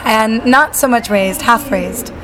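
A woman's voice: fairly high pitch, takes it slowly, crowd noise, low-quality recording. A young woman singer-songwriter from Salt Lake City chatting casually in an interview, bubbly and full of fillers. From Salt Lake City, Utah.

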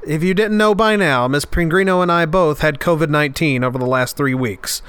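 A man's voice: fairly high pitch, a little fast, a bit bright, clean tone, no room sound. A male podcast host speaking with a nasal, stuffed-up voice in an earnest, heartfelt, reflective monologue. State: stuffed up after an illness.

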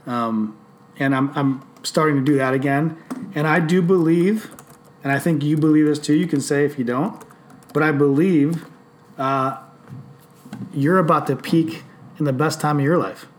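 A man in his late thirties talking casually on a podcast, animated and swearing freely as he tells stories. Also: fairly high pitch, moderate pace, pauses often, slight background noise. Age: 30s. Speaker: podcast host.

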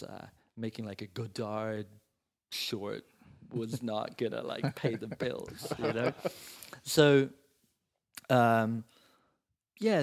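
A man's voice: lowish voice, gravelly. A man in his thirties who grew up in Italy, talking casually as an interview guest, thoughtful and full of hedges. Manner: thoughtful, hedging with "you know" and "like". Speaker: guest screenwriter.

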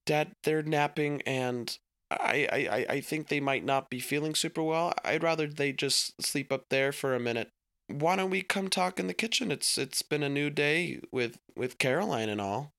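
A man's voice: fairly high pitch, moderate pace, gravelly, fairly bright tone, no room sound, studio quality, voice pushed up. A man playing a stammering, nervous teen boy. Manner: stammering, jittery. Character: nervous teenage boy hiding something.

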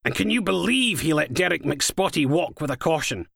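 A man's voice: on the high side, animated, normal speed, gravelly, fairly bright tone, breathy edge, no room sound, studio quality, pitched above the performer's own voice, full character voice. A man voicing a foul-mouthed, cynical female police inspector with a Scottish accent, scornful and impatient, in an audiobook. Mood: indignant. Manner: sweary, sardonic, uses Scottish slang.

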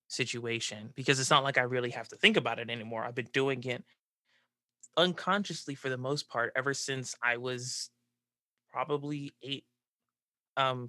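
A man's voice: medium pitch, sharp tone, dry recording. A young man from the southern United States speaks thoughtfully in a casual discussion, reflecting on his experiences and sometimes searching for words as a student panelist. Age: young adult.

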